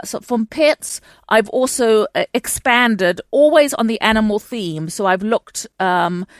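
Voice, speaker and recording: A chatty woman from Chile talks through her answers as a guest on a call, stopping and restarting often along the way. The sound is close and dry, with no room around her. Her pitch sits in the middle for a woman. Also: clean tone, dry recording. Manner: chatty, many fillers and restarts. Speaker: guest historian. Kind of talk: conversational interview answers. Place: home in London.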